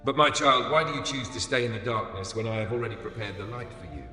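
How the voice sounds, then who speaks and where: A man giving a scripted religious narration as the voice of God, solemn and soothing, in short deliberate phrases. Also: medium pitch, steady tone, pushed and bright. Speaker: devotional narrator speaking in the voice of God. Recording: echoey, long reverb over music. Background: music.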